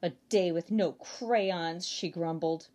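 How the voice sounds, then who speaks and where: A woman's voice: fairly low pitch, slow pace, darkish tone, pitched below the performer's own voice. A woman voicing a little girl who loves coloring, in a storybook read-aloud. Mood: grumbling.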